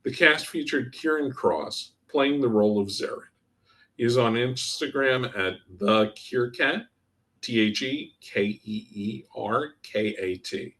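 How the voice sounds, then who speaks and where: A man's voice: medium pitch, takes it slowly, a bit bright. A man reading scripted credits as an announcer for an audio drama. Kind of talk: scripted narration.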